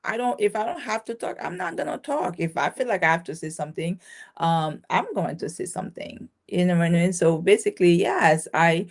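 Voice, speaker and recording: A woman's voice: lowish voice, expressive, brisk. A woman born in Haiti, a business owner talking casually in an interview, with many restarts and a ready laugh. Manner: chatty, many restarts and fillers, laughs easily. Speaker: guest, caregiving business owner.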